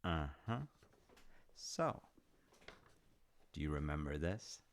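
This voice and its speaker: A man playing a warm, gently teasing dad in an intimate audio roleplay, speaking thoughtfully and reassuringly with a gravelly voice. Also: a bass voice, lively intonation, takes it slowly, exaggerated voice. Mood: fond, reassuring. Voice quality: gravelly, creaky. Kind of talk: intimate audio roleplay, slice-of-life acting.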